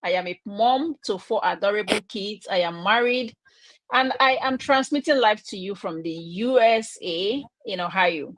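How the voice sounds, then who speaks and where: A woman's voice: mid-range voice, normal speed, a bit bright, dry recording, rough recording. A woman from Nigeria hosting a live online chat, upbeat and inviting.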